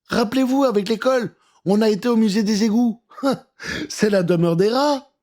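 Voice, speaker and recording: A man voicing a clever, eager little bookish boy in a children's audio story, explaining things excitedly. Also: high voice, normal speed, pitched above the performer's own voice, natural voice. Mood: eager, triumphant.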